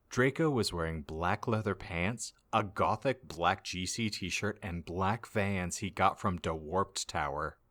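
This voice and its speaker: A man's voice: sits low, a bit bright. A man narrating comic fan fiction as a podcast host, dramatically reading out garbled misspellings as written.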